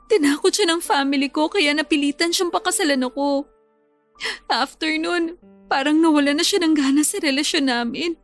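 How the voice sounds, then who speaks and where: High-voiced, heartbroken young bride-to-be. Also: female voice. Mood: tearful confiding. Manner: plaintive, confiding, tearful. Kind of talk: Filipino radio drama acting.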